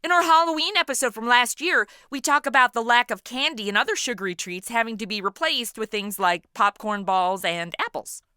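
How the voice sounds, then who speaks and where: A woman's voice: on the high side, a little fast, few pauses, pushed and bright, clear, resonant tone, no echo, clean studio sound. A woman hosting a podcast interview in a casual, playful, quippy conversational way. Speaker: podcast host.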